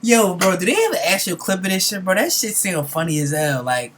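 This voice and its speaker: A man's voice: sits high, very animated, a little fast, a bit bright. Casual young man, faint noise behind. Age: young adult. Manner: informal, profane, slangy. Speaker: reaction video host. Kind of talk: casual, slangy reaction commentary.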